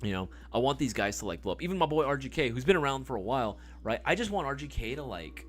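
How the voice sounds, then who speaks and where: Male live stream host, animated and swinging in pitch, rambling loosely with casual cursing, faint music underneath. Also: fast. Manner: rambling, filler-heavy, swears casually. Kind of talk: casual live stream chat, reading viewer comments.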